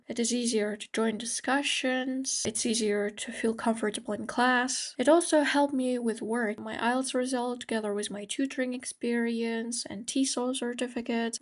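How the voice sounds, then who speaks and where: Young woman from Kazakhstan, higher voice. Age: young adult. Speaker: IELTS tutor. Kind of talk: scripted presentation.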